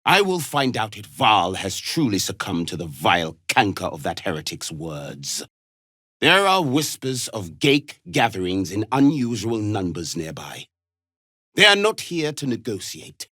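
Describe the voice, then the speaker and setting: An actor playing a harsh, imperious alien warrior instructor in a video game, scornful and given to clipped commands. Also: male voice, on the low side, huge pitch swings, a little slow, pushed and bright, no echo, studio quality, full character voice. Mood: cold, menacing. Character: harsh, contemptuous alien warrior instructor drilling young recruits. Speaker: combat trainer. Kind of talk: video game character acting.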